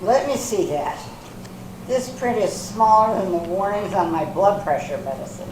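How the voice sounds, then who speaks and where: A woman's voice: medium pitch, expressive, normal speed, lightly acted. A woman acting in a readers theater piece as a wry, sardonic older woman who is hard to impress. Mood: dry challenge. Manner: dry, sardonic, challenging. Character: wry, skeptical older woman, once famous, unimpressed. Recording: echoey hall from far off, faint noise.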